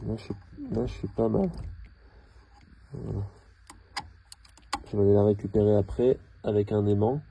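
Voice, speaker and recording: A man talking casually and haltingly through hands-on work, thinking aloud as he goes. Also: fairly low pitch, steady tone, gravelly, highs cut off, noisy background. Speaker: car mechanic hobbyist filming his own repair. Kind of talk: casual hands-on tutorial narration.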